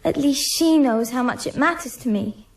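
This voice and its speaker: A plaintive, passionate teenage girl in a radio drama, with a very high voice. She sounds petulant and sits a little away from the microphone. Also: normal speed, creaky, recorded from a distance. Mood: upset, defiant. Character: tearful, passionate teenage girl music student.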